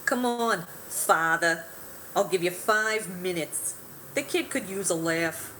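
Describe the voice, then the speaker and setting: An actress playing a brusque, skeptical prison matron with a gruff, streetwise manner in a radio play. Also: female voice, fairly low pitch, expressive, slow, very noisy. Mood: grudging, wry.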